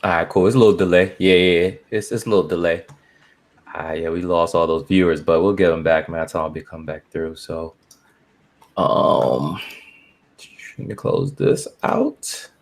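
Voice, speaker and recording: Guy hosting a podcast, deep creaky voice, slangy and laid out casual. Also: fairly even intonation, moderate pace. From born in Barbados, moved to the United States. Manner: slangy, informal. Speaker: podcast host. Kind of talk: casual chat while setting up a livestream.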